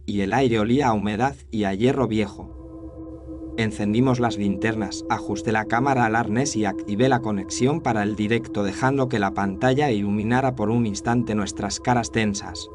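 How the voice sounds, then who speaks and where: A man's voice: on the low side, darkish tone. A man reading a scripted first-person horror story as its narrator. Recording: clear noise and ambience behind voice.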